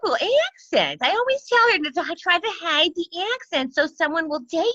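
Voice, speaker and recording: A woman doing a shrill, rambling older mom. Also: very animated, a little fast, sharp tone, no room sound, lo-fi sound, exaggerated voice. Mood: fussing. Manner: rambling, scolding, crude gossip. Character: nagging oversharing older mother, late sixties. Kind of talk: improvised character comedy on a video call.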